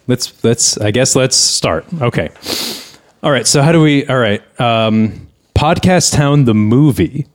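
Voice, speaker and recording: A man with a medium-pitched voice chats casually as a podcast host. He sounds skeptical, often checking and questioning what he is told.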